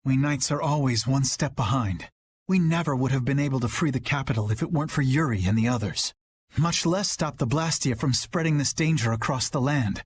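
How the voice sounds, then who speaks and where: An actor playing an earnest, dutiful young knight captain with a sincere, measured manner in a video game. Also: male voice, mid-range voice, a little hiss or hum, natural voice.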